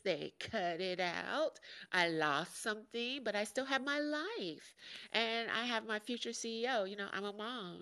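A woman in her forties from Chicago hosting a podcast, talking frankly and jokingly in a deep, nasal voice. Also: fairly bright tone. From Chicago, USA. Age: 40s. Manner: frank and joking, laughs often. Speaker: podcast host. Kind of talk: casual candid monologue.

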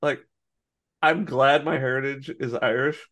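A man's voice: medium pitch, fairly even intonation, a little slow, fairly bright tone. An American man co-hosting a podcast, rambling through stories with restarts and frequent laughter. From United States. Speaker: podcast co-host. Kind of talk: casual chat.

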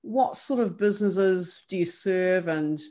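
A woman's voice: fairly low pitch, measured pace, dark tone. A woman hosting a business podcast, full of enthusiastic encouragement. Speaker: podcast host. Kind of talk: podcast interviewing.

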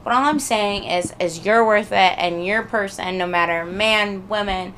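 A young woman with a very bright, forward voice chats informally as a jokey podcast guest. Her delivery is animated and casual. There is a little noise behind her. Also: mid-range voice, measured pace, poor audio. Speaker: podcast guest and occasional co-host. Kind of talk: casual comedic podcast chat.

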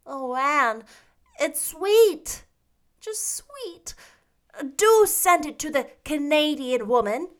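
A woman's voice: sits high, animated, slow pace, voice pushed up. A woman doing a sweet, anxious young girl. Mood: delighted. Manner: eager, worried. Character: sweet, anxious, devoted teenage girl friend.

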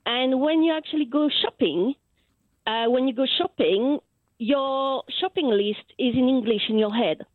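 A woman's voice: sits high, moderate pace, no echo. A woman caller with a French accent, a native French speaker who talks hesitantly and searches for her words. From France. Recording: phone line.

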